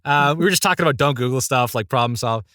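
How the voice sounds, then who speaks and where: A man's voice: medium pitch, fast talker, bright, forceful tone, no room sound, studio quality. A man talking as a podcast guest, telling anecdotes in an informal, self-deprecating way. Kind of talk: casual anecdotal chat.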